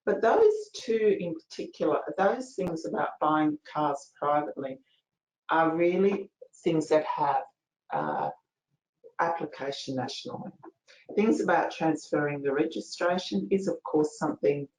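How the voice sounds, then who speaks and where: A soft-spoken woman presents a legal information webinar, her voice low and quiet. She talks in a chatty, informal way with plenty of fillers. The sound comes through an echoey video call. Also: big pitch swings, measured pace, slight background noise. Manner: chatty, with fillers and a ready laugh.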